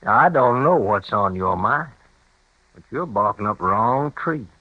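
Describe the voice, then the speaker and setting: An actor playing an ornery, evasive frontier rancher with a sly, defensive, folksy way of talking, in a 1950s radio drama. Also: male voice, lowish voice, animated, a little slow. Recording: dull old radio broadcast.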